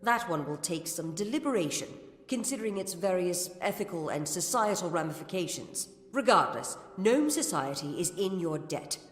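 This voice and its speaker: A woman playing a stern, formal gnome Templar commander in a fantasy game, speaking with measured authority. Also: lowish voice, lively intonation, natural voice. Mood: stern, businesslike. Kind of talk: scripted fantasy game dialogue. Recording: echoey reverberant hall.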